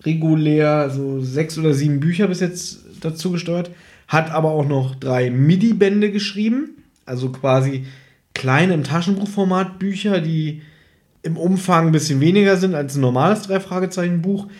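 A man's voice: fairly high pitch, normal speed, a little mellow. A man hosting a podcast in speech, chatting casually about radio plays in a teasing, nitpicking way. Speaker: podcast host.

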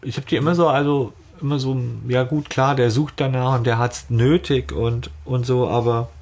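A man's voice: mid-range voice, flat delivery, normal speed, a little mellow. A man co-hosting a fan podcast, chatting casually in speech about an audio drama.

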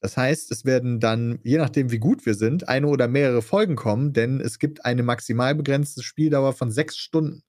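A young man co-hosting a casual podcast, calmly and methodically explaining a game format. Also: medium pitch, moderate pace, hardly any pauses, no room sound, clean studio sound. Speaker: podcast co-host.

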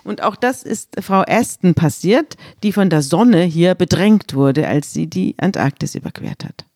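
A woman's voice: on the low side, unhurried, a little mellow, no room sound, studio quality. A woman talking thoughtfully about a Bible story, recounting an anecdote and reflecting on it in a conversational discussion. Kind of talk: conversational religious podcast discussion.

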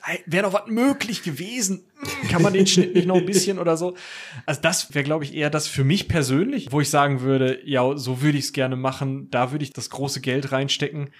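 A man's voice: on the high side, a little fast, slightly rough. A man speaking casually as a podcast guest who makes audio dramas.

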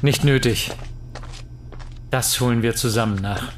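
A man's voice: medium pitch, a little slow, lightly acted. Echoing, level-headed professor, acted by a man. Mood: tense, dry. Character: level-headed male professor exploring an alien mystery. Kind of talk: German hobby science-fiction audio drama acting. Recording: echoey hall reverb with noise.

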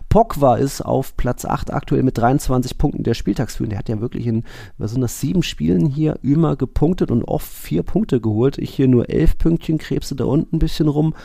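A man's voice: mid-range voice, a little fast, vocal fry, mellow, breathy edge, dry recording, studio quality. A man hosting a football podcast, talking casually and informally in a chatty way. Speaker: podcast host.

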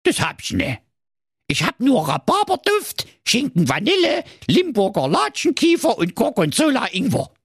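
A man's voice: very high voice, huge pitch swings, normal speed, fairly bright tone, slightly rough, dry recording, studio quality, pitched above the performer's own voice, heavily acted. A man voicing a grumpy, crafty evil male dwarf in a comic fairy tale, grumbling and sly.

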